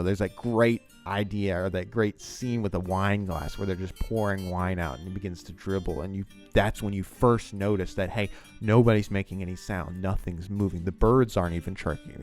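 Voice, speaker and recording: A man's voice: low voice, steady tone, brisk, few pauses, darkish tone, faint music behind. A man giving enthusiastic, rambling commentary on a TV scene as the host of a video essay.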